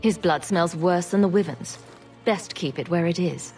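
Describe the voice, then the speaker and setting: An actress playing a self-assured, sarcastic champion in a video game, with dry, deadpan delivery. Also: female voice, fairly low pitch, normal speed, soft background music, lightly acted. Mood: cool. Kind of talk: video game cutscene acting.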